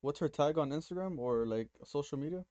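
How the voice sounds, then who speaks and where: A man from Nicaragua with a Miami accent, hosting a trading stream in relaxed, rambling casual chat. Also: a little high, fairly quick, no echo, highs cut off. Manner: relaxed, rambling, mixes in slang.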